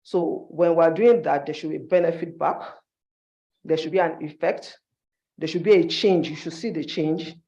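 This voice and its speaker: A man's voice: a little high, normal speed, gravelly, dark tone, muffled recording. A man giving an instructive conference presentation in short, emphatic phrases, as a university staff member.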